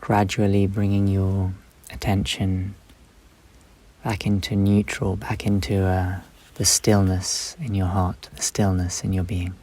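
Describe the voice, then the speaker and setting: A man leading a guided meditation, speaking unhurriedly in short instructive phrases with long pauses between them. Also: sits low, monotone, darkish tone.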